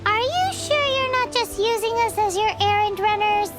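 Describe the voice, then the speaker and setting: A woman voicing a tiny childlike fairy companion in a video game, squeaky, bossy and whiny. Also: normal speed. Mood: skeptical. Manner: whiny, indignant, third-person self-reference. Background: game music.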